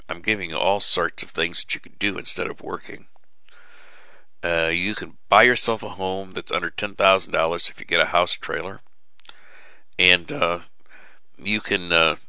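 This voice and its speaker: A man's voice: sits low, bright, forceful tone, dry recording. A middle-aged man hosting a radio show, giving a folksy, preachy, rambling monologue full of fillers and restarts, his voice starting to give way. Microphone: studio mic with cough button.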